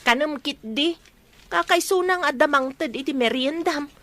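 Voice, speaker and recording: A woman's voice: high voice, moderate pace, fairly bright tone. A woman playing a cheeky, teasing young best friend in an Ilocano radio drama.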